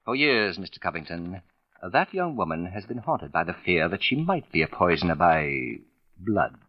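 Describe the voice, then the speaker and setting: A smug pompous old-radio sleuth, really animated, precise wording, dry muffled 1940s sound. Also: male voice, deep voice, normal speed, dry recording. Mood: superior, revealing. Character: suave, condescending male writer turned shrewd accusing sleuth. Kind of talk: 1940s radio drama acting. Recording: dull vintage radio recording.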